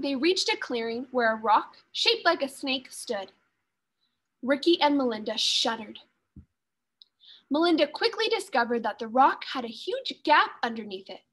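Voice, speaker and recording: A woman teacher reading a children's story aloud with expressive narration. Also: high voice, unhurried.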